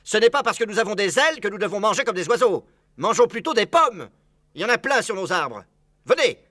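Man as a bossy, bright-voiced father bird. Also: high-pitched, big pitch swings, fairly quick, no echo, studio quality, full character voice. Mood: indignant, decisive. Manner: commanding, emphatic.

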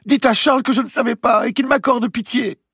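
A man's voice: very high-pitched, steady tone, brisk, creaky, a bit bright, breathy edge, no echo, studio quality, voice pushed up, full character voice. A man voicing a frightened, fragile young princess in an audiobook, pleading desperately through tears. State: crying. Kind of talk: dramatic audiobook character voice.

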